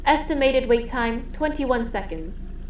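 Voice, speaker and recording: High-voiced little helper bot, played by a woman, formal and polite, lively intonation, roomy noisy recording. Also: unhurried. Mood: polite, mechanical. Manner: polite, formal status-report phrasing. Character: small cheerful all-purpose robot companion with personality core. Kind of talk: audio drama acting.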